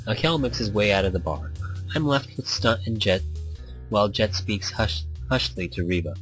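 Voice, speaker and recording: A man's voice: on the low side, animated, normal speed, a bit bright, rough recording. A man reading a visual novel aloud as narrator in an informal way, sometimes stumbling and rereading a line. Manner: informal, stumbles over lines and rereads them. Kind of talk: story read-aloud in a game playthrough. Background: background music.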